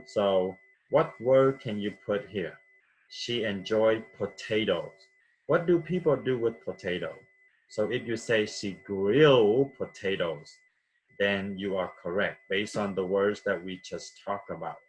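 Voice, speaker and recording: A man's voice: fairly low pitch, unhurried, rough recording. A man from Vietnam teaching vocabulary patiently, repeating words and checking with "okay?". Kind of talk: classroom vocabulary lesson.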